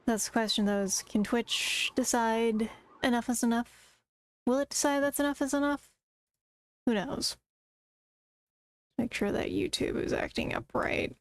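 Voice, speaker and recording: Brief: woman streamer, slightly high voice, rambling casual chatter aimed at chat. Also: steady tone, unhurried, pauses often, no room sound.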